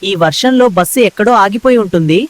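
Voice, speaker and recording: A woman's voice: mid-range voice, expressive, quick pace, no echo, natural voice. A woman playing a skeptical, bossy eight-year-old boy who scolds his best friend in a children's comedy cartoon. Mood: exasperated.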